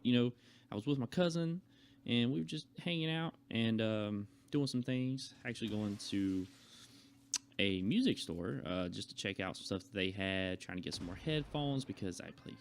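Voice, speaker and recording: A young adult man chatting casually to viewers as a vlogger, telling a rambling personal story in a conversational way. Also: mid-range voice. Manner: conversational, acts out quoted remarks.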